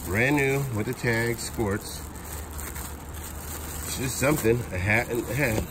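A man in his forties, chatty and excited, talking to viewers while unboxing outdoors beside storage units. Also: medium pitch, measured pace. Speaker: storage auction reseller. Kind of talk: casual unboxing vlog. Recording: outdoor noise behind voice.

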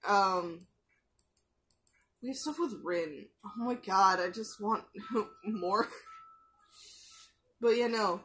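A woman's voice: mid-range voice, fairly bright tone. Chatty young woman on headset mic. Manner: chatty, many fillers and restarts, quick asides. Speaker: anime reaction youtuber. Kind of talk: casual rambling commentary. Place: small bedroom with posters. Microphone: gaming headset mic.

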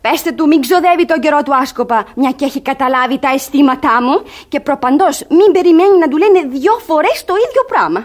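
An actress playing a crafty young ward in a radio theatre comedy, coaxing and feigning wounded virtue with theatrical emphasis. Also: female voice, sits high, few pauses, lightly acted. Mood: urgent, coy. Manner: theatrical, emphatic, artfully expressive.

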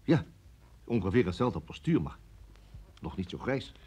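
An actor playing a cool, formal security service interrogator in a radio play. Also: male voice, fairly low pitch, big pitch swings, dark tone, slight background noise. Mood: uneasy, reporting. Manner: formal, measured.

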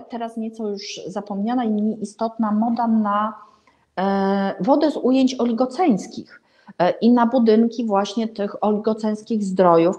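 A woman's voice: medium pitch, darkish tone. A middle-aged woman gives an informal spoken review to camera, searching for words and leaning emphatically on key ones. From Warsaw, Poland. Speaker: presenter of an art and architecture vlog. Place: small indoor room with paintings and a bed.

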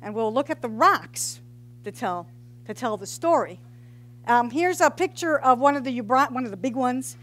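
A woman's voice: fairly high pitch, lively intonation, normal speed, fairly bright tone. A middle-aged woman, a geology professor, giving an informal public science talk into a headset mic in a large hall, joking and quizzing the audience. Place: large hall with brick walls.

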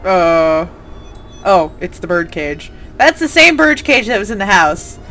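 A woman's voice: medium pitch, very animated, a little slow, sharp tone, soft background music. A woman giving casual, playful and sarcastic commentary on a game, often exclaiming at it. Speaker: gaming host. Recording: faint game noise behind.

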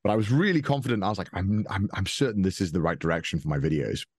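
A man's voice: deep voice, fast talker, breathy edge. A young man from Wales with a Welsh accent, talking casually as an interview guest, rambling with restarts and light chuckles. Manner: rambling, frequent restarts and fillers, chuckles often.

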